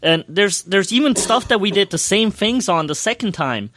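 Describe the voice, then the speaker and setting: A man's voice: sits high, lively intonation, normal speed, a bit bright, a little hiss or hum. A man chatting casually as a podcast co-host, enthusiastically recounting an experience in a rambling way, often repeating his words.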